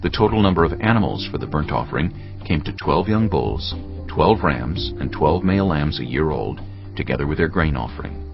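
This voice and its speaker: A man narrating a dramatised scripture audiobook solemnly and deliberately, phrase by phrase. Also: extremely low pitch, expressive, vocal fry, lo-fi sound. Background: music.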